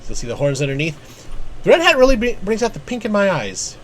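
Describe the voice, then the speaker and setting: Man, game streamer, casual thinking-aloud chatter, slightly high pitch, swinging intonation. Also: very animated, a little fast, slight background noise. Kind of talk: casual live gameplay commentary.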